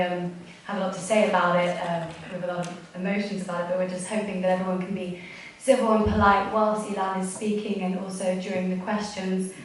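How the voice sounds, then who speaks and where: A young woman, a student co-host, giving house rules with many fillers and trailing, nervous phrasing. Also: mid-range voice. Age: young adult. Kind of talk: housekeeping announcement. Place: university lecture hall. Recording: echoey hall, distant mic, faint noise.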